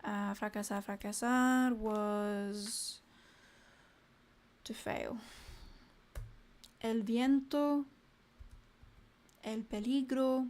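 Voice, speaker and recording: A woman's voice: fairly high pitch, steady tone, extremely slow, long pauses, darkish tone. A young woman on a casual live stream, reading vocabulary words aloud one by one, muttering asides to herself and chatting cheerfully with viewers. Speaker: language learner streaming live.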